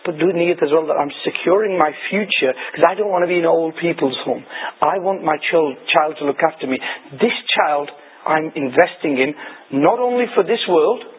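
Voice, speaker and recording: A husky-voiced man making an insistent, conversational religious appeal, slipping into Urdu phrases. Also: a little high, normal speed. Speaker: religious talk show host. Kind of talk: persuasive conversational religious talk. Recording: thin phone-like broadcast audio.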